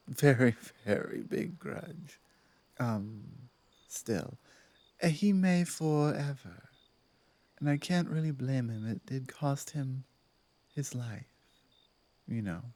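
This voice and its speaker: A woman playing a werewolf pack leader in an intimate ASMR roleplay, speaking haltingly and deliberately, dominant but softening and earnest. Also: extremely low pitch, no room sound, exaggerated voice. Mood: halting, regretful. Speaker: audio roleplay performer.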